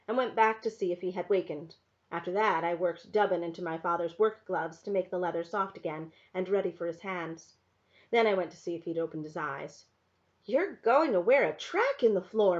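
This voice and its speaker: Teacher reading a chapter book aloud, soft and measured; medium-pitched woman's voice, dull lo-fi recording.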